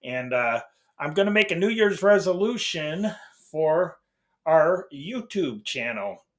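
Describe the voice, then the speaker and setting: A man's voice: on the high side, big pitch swings, takes it slowly, fairly bright tone. A middle-aged American man hosting a channel gives a casual, unscripted update, hesitant and rambling, often breaking off and repeating himself. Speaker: host of an old-time radio channel.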